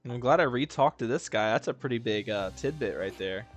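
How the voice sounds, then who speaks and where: A male streamer comments casually on a game he is playing. His voice is mid-pitched and slangy, full of joking asides, with faint music in the background. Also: expressive, a little fast. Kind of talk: casual playthrough commentary, reading game text aloud.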